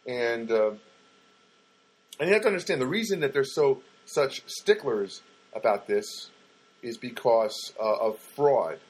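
A man's voice: medium pitch, expressive, a little slow, husky, rough recording. A middle-aged man casually telling a rambling, wry personal story and acting out what people said. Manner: rambling, wry, acts out quoted lines.

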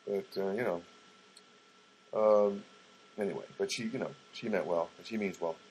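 A man's voice: lowish voice, moderate pace, darkish tone, breathy edge, low-quality recording. A middle-aged man casually telling a rambling, wry personal story and acting out what people said. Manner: rambling, wry, acts out quoted lines.

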